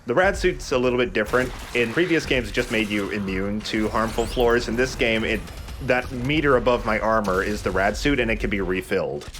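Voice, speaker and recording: A man's voice: fairly low pitch, brisk, a bit bright, a little hiss or hum. A man hosting a let's play with chatty, casually sweary gaming commentary.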